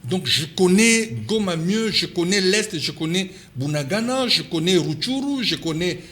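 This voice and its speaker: A man's voice: high-pitched, expressive, moderate pace, a bit bright. A man with a Congolese accent speaking as a political commentator in an interview, insistent and repeating his key points for force. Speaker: political commentator and party official, interview guest.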